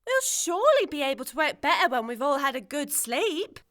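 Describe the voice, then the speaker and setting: A woman's voice: sits high, very animated, brisk, a bit bright. A woman playing a brave, kind-hearted young girl in a family audio drama, earnest and hopeful. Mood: reassuring.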